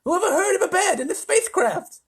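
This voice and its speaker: Storybook role: man as hot-headed, self-important President. Raspy, animated, impatient bark. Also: fairly quick, heavily acted. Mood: indignant. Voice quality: deep rasping. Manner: pompous, barking, impatient. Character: blustering, hot-tempered male President of the United States.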